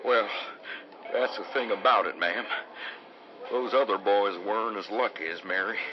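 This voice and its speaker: An actor playing a grave, hesitant, folksy small-town sheriff in an old-time radio drama. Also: male voice, fairly low pitch, moderate pace, natural voice. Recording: noisy lo-fi radio sound.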